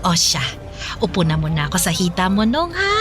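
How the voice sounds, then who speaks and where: A woman's voice: fairly low pitch, sing-song, fairly quick. An actress playing a brazen, flirty, freeloading middle-aged single mother in a Filipino radio drama, sassy and teasing with coquettish wheedling. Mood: brushing off. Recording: music behind the voice.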